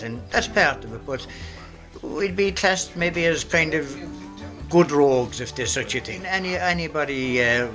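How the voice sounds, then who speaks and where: Irish male interviewee, mid-range voice, conversational and reflective, music clearly behind. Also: mid-range voice, low-quality recording. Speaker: amateur radio drama actor being interviewed.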